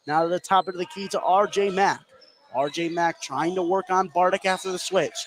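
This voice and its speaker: Higher-voiced man calling basketball, noisy gym. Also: steady tone, moderate pace. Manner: voice rises into shouts on big plays. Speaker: play-by-play commentator. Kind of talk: live radio basketball commentary. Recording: clear gym noise behind the voice. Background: indoor high school gymnasium ambience.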